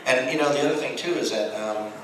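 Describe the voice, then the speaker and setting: A man's voice: mid-range voice, fast, a bit bright. An American man, a voice actor on a convention panel, chatting casually in a rambling, jokey way. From Texas, United States. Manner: rambling, jokey, acts out quoted remarks. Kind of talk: casual panel talk with anecdotes. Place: large room at a fan convention. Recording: very echoey hall, distant mic, clear noise.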